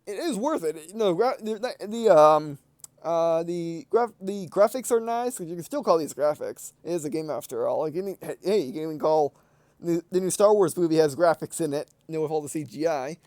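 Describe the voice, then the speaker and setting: A man's voice: fairly high pitch, brisk, darkish tone, no echo. A man giving casual, unscripted game commentary as a let's-play host, rambling and hesitant, often correcting himself mid-sentence.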